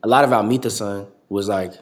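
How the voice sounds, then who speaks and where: Low, flat-voiced young rapper. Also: male voice, a little fast. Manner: loose, profane, rambling with many fillers. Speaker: rapper guest. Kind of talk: casual interview chat.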